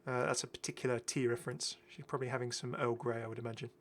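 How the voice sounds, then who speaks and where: A man's voice: mid-range voice, little pitch movement, fast talker. A British man giving casual, enthusiastic, rambling commentary as the host of a gaming video. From Britain. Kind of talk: casual commentary over game footage.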